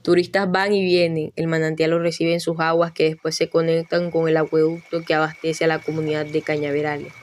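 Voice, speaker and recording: Low, even-voiced woman narrator. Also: brisk, few pauses, slight background noise. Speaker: podcast reporter and narrator. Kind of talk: scripted documentary narration.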